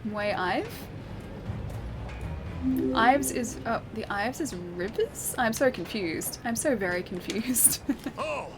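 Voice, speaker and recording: A woman's voice: fairly high pitch, expressive, takes it slowly, long pauses, music in the background. A woman from Western Australia with an Australian accent, chatting casually with viewers while she plays a game, often laughing and answering chat. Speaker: streamer.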